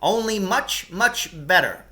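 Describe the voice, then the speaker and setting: Storybook cave-dweller host, read by a man: earnest, eager to explain, higher pitched, bright and emphatic. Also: big pitch swings, slow, exaggerated voice. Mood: eager, curious.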